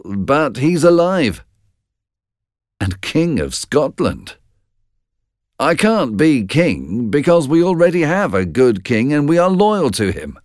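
A man's voice: medium pitch, dry recording, clean studio sound, voice pushed up. A man voicing a brave, puzzled, loyal warrior in a storybook, speaking with urgent insistence. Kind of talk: storybook narration.

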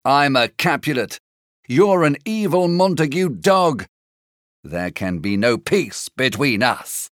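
A woman's voice: a bass voice, sing-song, slow, no echo, clean studio sound, voice pushed down, heavily acted. A woman voicing an angry, contemptuous young nobleman spoiling for a fight in a storybook reading. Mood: contemptuous, defiant. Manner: contemptuous, defiant.

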